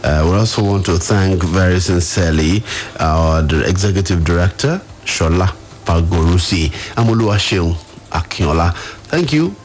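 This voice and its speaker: A man's voice: low voice, normal speed. A man with a Nigerian accent hosting a live radio phone-in from a studio. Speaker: radio host.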